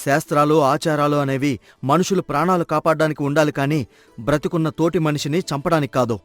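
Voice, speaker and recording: A man's voice: on the high side, fairly quick, no room sound, soft background music, close to an everyday voice. A man voicing a heroic, protective young reformer in a dramatised moral story, speaking in earnest, emphatic declamation. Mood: stern, righteous.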